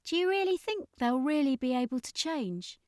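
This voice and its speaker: A woman's voice: high-pitched, lively intonation, moderate pace, a little mellow, no room sound, clean studio sound, voice pushed up. A woman voicing a troubled, idealistic young circus member in an audiobook, with urgent questioning. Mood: doubtful.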